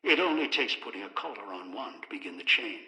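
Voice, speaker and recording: An actor playing a bitter, scheming old man in a video game, speaking in clipped, deliberate, menacing phrases. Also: fairly low pitch, animated, pushed and bright. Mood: cynical, instructive. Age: older. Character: bitter, scheming old man obsessed with pre-war technology. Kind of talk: video game character dialogue. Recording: echoey, long reverb.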